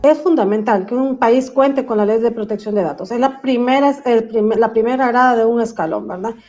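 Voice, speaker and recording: A woman gives semi-formal expert commentary. Her voice is fairly high and mellow. The audio is dull and lo-fi. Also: fairly quick, soft background music. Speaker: data protection expert, panel speaker.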